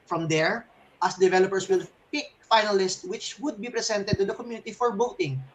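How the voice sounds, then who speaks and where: A man's voice: high voice, a little fast. A man speaking casually and hesitantly as a game developer answering questions in a live session. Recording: faintly noisy livestream audio.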